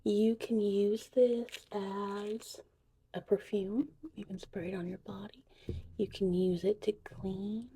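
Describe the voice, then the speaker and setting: A woman whispering softly and breathily in an unhurried, chatty ASMR witch potion tutorial roleplay. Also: medium pitch, steady tone, slight background noise. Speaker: ASMR host.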